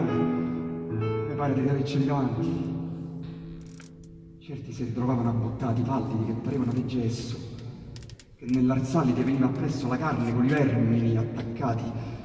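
A man with a Roman accent recites narrative verse like a stage actor, declaiming with dramatic pauses and swells. His voice sits at a middling pitch and rings through a very reverberant hall, some distance from the microphone. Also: steady tone. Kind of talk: theatrical dramatic storytelling of verse with piano. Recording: very echoey hall, distant mic. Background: piano accompaniment.

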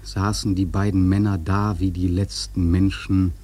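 A man playing a sailor who narrates a whaling tale in a radio drama, husky, measured and grave. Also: deep voice, level tone, mellow. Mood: grave, reflective. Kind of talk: literary radio play narration.

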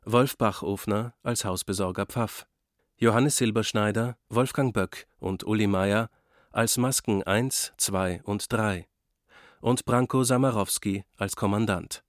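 A male announcer with a fairly low voice reads scripted credits. He speaks slowly in a dry studio. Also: no room sound. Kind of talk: scripted radio credits reading.

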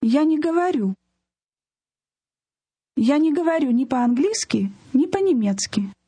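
A woman's voice: sits high, expressive, measured pace, mellow, no room sound. A woman modeling phrases in a language lesson, repeating them in parts for practice. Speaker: native-speaker model in a lesson. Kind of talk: scripted lesson demonstration.

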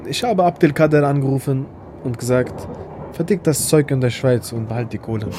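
An actor playing a brash, crude young buddy with cocky street slang, in a naturalistic film drama. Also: male voice, medium pitch, a little fast, natural voice. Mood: cocky, brazen. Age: young adult. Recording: faintly noisy film location sound.

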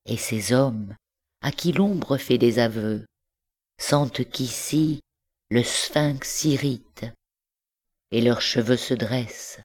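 A woman reciting literary poetry in measured, deliberate phrases broken by pauses. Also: very deep voice, a little mellow. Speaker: poetry reader.

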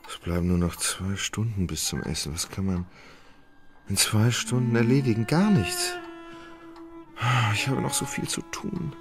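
An actor playing a lethargic, fretful Russian gentleman in a radio play, querulous and full of whining self-pity. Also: on the low side, measured pace. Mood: weary. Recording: music behind the voice.

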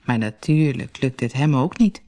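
A storyteller reading a children's fairy tale from a script in an expressive, deliberate way, as for an audiobook. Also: medium pitch. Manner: expressive, deliberate read-aloud delivery.

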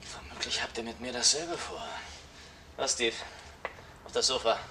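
An actor playing a hot-tempered, suspicious crook in a German radio crime drama, volatile between hushed murmurs and sharp outbursts. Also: male voice, mid-range voice, moderate pace, recorded from a distance, noisy background, lightly acted. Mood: accusing, menacing. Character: hot-tempered, suspicious male crook named Rodney, lovestruck and menacing.

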